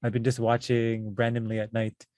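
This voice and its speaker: Woman, podcast host, casual quick-fire questions, dry room. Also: rumbling low, no room sound. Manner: relaxed, asks quick-fire questions, laughs along. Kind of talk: casual interview chat.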